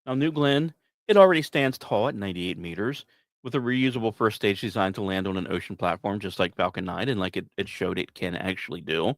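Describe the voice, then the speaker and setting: A man hosting a podcast, reading scripted narration in a measured, dramatic way with emphatic pauses and casual asides. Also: on the low side, dry recording. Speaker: podcast host.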